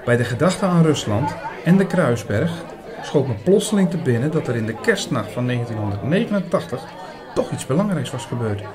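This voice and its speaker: A man playing an earnest older priest who narrates a radio drama in a measured way, with dramatic pauses. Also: medium pitch, big pitch swings, close to an everyday voice. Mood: reflective narrating. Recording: busy chatter behind the voice. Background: busy restaurant crowd.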